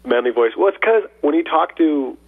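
A man co-hosting a radio talk show, playful and quick to laugh. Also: a little high, husky. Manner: playful, laughs often, lively. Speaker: radio co-host. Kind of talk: casual studio chat. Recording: narrow phone-like radio sound.

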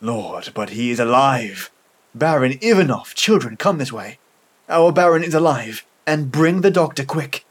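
A man's voice: mid-range voice, unhurried, close to an everyday voice. A man voicing a good-hearted army corporal in a story, calling out with urgency and astonishment. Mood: urgent, astonished. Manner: calling out excitedly.

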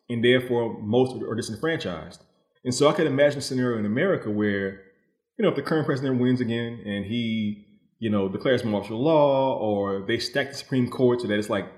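A man giving thoughtful, conversational answers as a novelist guest, explaining his thinking and voicing other people's views as he goes. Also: mid-range voice. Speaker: novelist guest being interviewed. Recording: roomy, echoing voice.

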